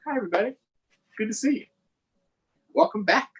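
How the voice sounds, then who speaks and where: A man with a slightly rough, husky voice hosts a children's storytime. His pitch moves up and down freely as he reads aloud and speaks straight to his young audience. The delivery is expressive and conversational. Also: a little fast. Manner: addresses young viewers directly. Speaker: storytime host, councilmember. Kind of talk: children's read-aloud and live chat.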